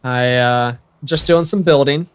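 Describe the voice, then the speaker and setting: A man's voice: medium pitch, measured pace, a little hiss or hum. A young American man chatting casually to viewers while he livestreams a building game, rambling and correcting himself as he goes. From United States. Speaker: streamer.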